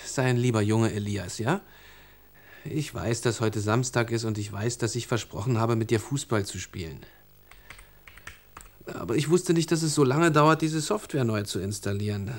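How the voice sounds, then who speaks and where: A man's voice: medium pitch, moderate pace, lightly acted. An actor playing an apologetic, distracted father who coaxes his young son in a German radio drama. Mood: apologetic, coaxing. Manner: coaxing.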